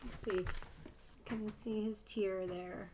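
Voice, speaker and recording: A woman reading a picture book aloud to children, expressive, with gently explanatory asides. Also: medium pitch, slow pace, slight background noise. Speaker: storybook reader for children.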